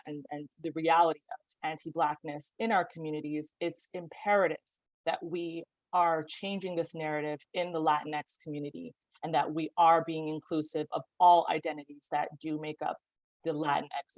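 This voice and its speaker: A woman's voice: low voice, dry recording, poor audio. A woman with Cuban roots speaking earnestly as a panelist in a webinar discussion over a video call.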